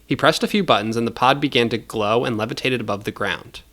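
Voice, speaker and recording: A man's voice: medium pitch, quick pace, a bit bright. A man narrating scripted audio fiction as the storyteller.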